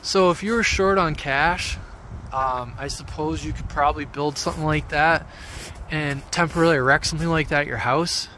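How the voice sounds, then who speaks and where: A man giving a casual, off-the-cuff how-to explanation outdoors in an informal, rambling, halting way. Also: fairly high pitch, pushed and bright. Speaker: hobby radio vlogger. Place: outdoors among trees. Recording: outdoor handheld video with background noise.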